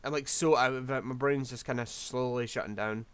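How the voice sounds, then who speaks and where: Hoarse rambling man. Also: medium pitch, steady tone, fairly quick, a bit bright. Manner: rambling, scatterbrained, self-deprecating. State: losing his voice. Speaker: game streamer. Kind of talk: casual gameplay commentary.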